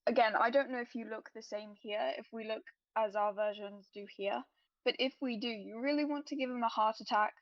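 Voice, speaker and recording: A woman's voice: fairly high pitch, fairly even intonation, fairly quick, no room sound. A woman playing a tabletop role-playing game, talking things through casually in character with the other players. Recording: dull lo-fi dry voice recording.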